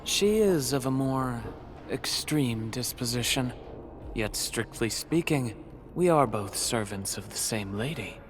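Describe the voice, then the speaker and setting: An actor playing a courtly, enigmatic video game villain who professes devotion, with formal, theatrical phrasing. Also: male voice, medium pitch, lively intonation, slow, background noise. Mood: calm, persuasive. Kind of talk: video game story voice acting. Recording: dramatic music behind the voice. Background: game music.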